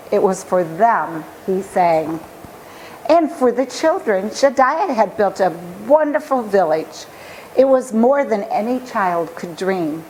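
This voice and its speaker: A woman storyteller reading a children's picture book aloud with expressive, deliberate phrasing. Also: on the high side, slight background noise, low-quality recording.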